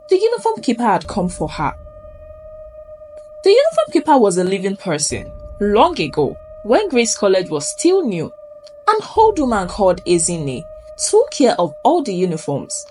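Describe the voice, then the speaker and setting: A high-voiced Nigerian woman tells a spooky folktale with great theatrical suspense. Her pitch rises and falls dramatically from phrase to phrase. Music plays softly behind her narration. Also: low-quality recording. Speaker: storyteller. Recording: music under the voice. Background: background music.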